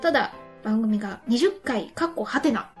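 A woman's voice: fairly high pitch, lively intonation, normal speed. A woman hosting a casual radio talk show, reading out listener messages. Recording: light music behind the voice. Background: background music.

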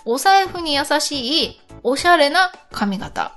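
A woman's voice: sits high, sing-song, slow pace, fairly bright tone, soft background music. A woman hosting a casual radio chat, speaking politely and chattily as she talks and reads listener mail. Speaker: radio show host.

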